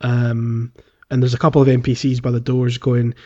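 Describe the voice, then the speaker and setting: A man's voice: medium pitch, completely flat delivery, moderate pace, warm tone. A man co-hosting a gaming podcast in casual, conversational talk. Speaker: podcast host. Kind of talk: casual game discussion podcast. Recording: slightly dull, lo-fi voice track.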